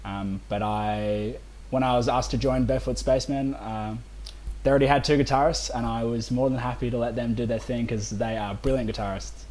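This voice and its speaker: A man's voice: mid-range voice, fairly even intonation, brisk, a little mellow, slight background noise, low-quality recording. Casual Australian man in his twenties. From Melbourne, Australia. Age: 20s. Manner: informal, conversational. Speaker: band vocalist, podcast guest. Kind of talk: casual chat.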